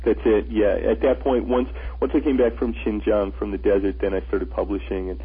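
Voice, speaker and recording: A man's voice: on the low side, flat delivery, a little fast, slightly rough. A man who is the guest on a radio interview, an author and traveler telling his stories earnestly, often restarting his sentences. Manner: earnest storyteller, frequent restarts. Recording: tinny phone-line radio audio.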